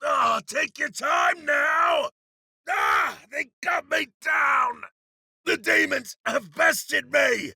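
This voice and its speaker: Gruff raspy old-hand gunslinger for a game, slow folksy drawl, forceful and loud. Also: male voice, very high-pitched, huge pitch swings, no room sound, studio quality, exaggerated voice. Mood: urgent, strained. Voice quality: gravelly, raspy. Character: gruff, folksy male frontier gunslinger demon hunter, seasoned and courteous. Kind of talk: video game character voice lines.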